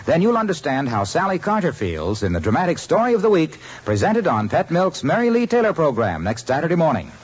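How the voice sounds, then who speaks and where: A man's voice: on the high side, wildly swooping pitch, brisk, hardly any pauses, slightly rough. A male radio announcer giving an earnest, polished 1950s ad read. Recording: dull old radio broadcast with light hiss.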